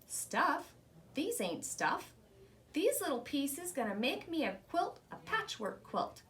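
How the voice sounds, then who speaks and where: Storybook grandma, played by a woman: elderly, folksy, fond, proud, lively intonation. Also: high-pitched, slow pace, pitched above the performer's own voice, full character voice. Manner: folksy dialect with ain't and gonna. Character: wise, patient old grandmother, fond and proud. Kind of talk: children's storybook read-aloud.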